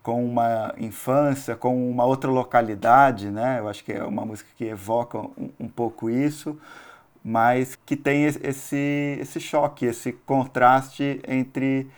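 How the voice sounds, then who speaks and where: A Brazilian man discussing a film analytically and off the cuff, in a halting, tentative way with restarted phrases. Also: mid-range voice, fairly even intonation. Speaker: film commentator.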